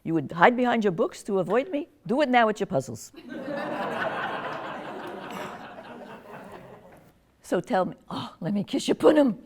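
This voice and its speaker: A woman's voice: medium pitch, sing-song, brisk, crowd noise, natural voice. Woman playing wry old grandmother. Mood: doting. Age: older. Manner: gossipy, teasing, drifting into confusion. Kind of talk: one-woman stage monologue.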